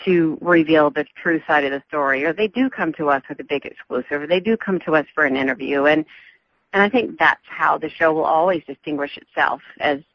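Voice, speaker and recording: A woman from the American South with a softened Southern accent, an entertainment reporter chatting as a guest in a radio interview, upbeat and full of fillers. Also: deep voice, fairly quick, no echo, dull audio. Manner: chatty, upbeat, laughs often, many fillers.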